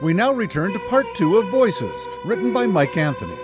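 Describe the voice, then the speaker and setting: A man's voice: high voice, big pitch swings, moderate pace, over music. A man announcing a radio drama in a scripted, presentational style.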